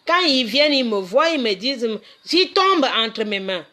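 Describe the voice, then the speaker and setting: A middle-aged woman from Cameroon delivers a passionate, unscripted monologue. She speaks emphatically, circling back and repeating phrases as she restarts her sentences. Her voice sits somewhat higher than most women's. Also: sing-song, moderate pace, pushed and bright. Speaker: community association founder. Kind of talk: impassioned monologue.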